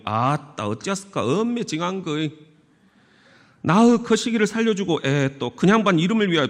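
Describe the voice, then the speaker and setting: A man's voice: sits high, a bit bright. A male pastor voicing a folksy rural man who recites a psalm in broad Jeolla dialect, earthy and emphatic. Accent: Jeolla dialect of Korean. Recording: echoey church hall.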